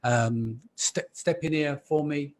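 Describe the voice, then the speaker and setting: A man hosting a live podcast panel, chatty and relaxed with frequent ums. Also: fairly high pitch, takes it slowly. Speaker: podcast host.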